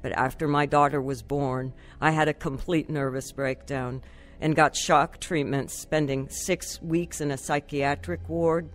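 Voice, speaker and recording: A troubled young woman in a radio drama, confessional and anxious. Her voice sits fairly low and she speaks with raw earnestness. Faint music runs behind her. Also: steady tone, a little slow. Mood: somber confiding. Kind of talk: radio drama testimony narration and acting.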